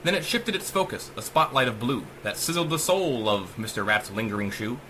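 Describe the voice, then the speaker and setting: Animated man narrating dark rhyming verse. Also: mid-range voice, brisk, a little hiss or hum, rough recording. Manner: theatrical, sing-song verse cadence. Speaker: author reading own story. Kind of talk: dramatic rhymed audiobook narration.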